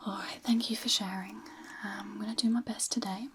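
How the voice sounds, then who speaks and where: Soft whispering woman, gentle ASMR medical roleplay. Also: medium pitch, fairly even intonation, brisk, slight background noise. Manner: gentle, hesitant, reassuring, explaining each step. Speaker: ASMR performer playing a physiotherapist.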